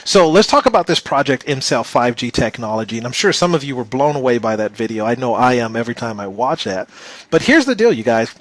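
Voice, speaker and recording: Slightly hoarse enthusiastic male presenter. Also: very animated, fairly quick, no room sound. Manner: enthusiastic salesy pitch, addresses viewers as you guys. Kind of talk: business opportunity presentation.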